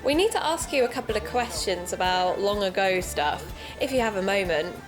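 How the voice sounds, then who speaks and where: A woman's voice: mid-range voice, lively intonation, moderate pace, music in the background, lightly acted. A woman playing a keen young amateur podcaster in an audio drama, chatty and explanatory in manner. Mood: friendly, casual. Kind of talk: naturalistic audio drama dialogue and narration.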